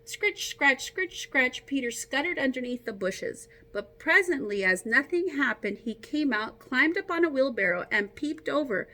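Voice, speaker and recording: A woman teacher reading a story aloud to children, expressive and encouraging, with casual asides to her young listeners. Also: high-pitched, moderate pace, few pauses.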